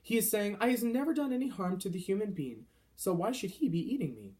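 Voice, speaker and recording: Casting: man to play friendly storybook giant, earnest and gently indignant, quaint mangled grammar, very animated intonation, mellow tone. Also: high-pitched, fairly quick, pitched above the performer's own voice. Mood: wry, firm. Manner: quaint broken grammar with mangled, invented words. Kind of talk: children's storybook character voice.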